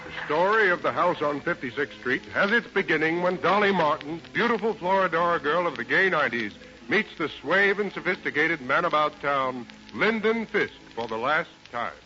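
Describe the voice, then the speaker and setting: A man's voice: a little high, expressive, normal speed, few pauses, sharp tone. A man reading scripted story narration in 1930s radio drama style. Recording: dull 1930s radio recording. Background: faint music.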